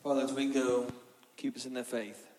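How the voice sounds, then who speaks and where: A man's voice: medium pitch, little pitch movement, moderate pace, darkish tone, a little hiss or hum. A man saying a solemn, repetitive spoken prayer, heard at a distance in an echoey hall. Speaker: congregant leading closing prayer.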